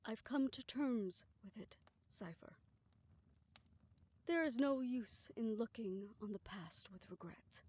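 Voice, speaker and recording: A woman playing a gentle, forgiving survivor in an intimate audio drama, talking in a composed, reassuring, measured way. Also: a little high, expressive. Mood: calm, reassuring. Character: gentle, forgiving woman, once experimented on, now at peace. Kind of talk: soft, intimate audio roleplay acting.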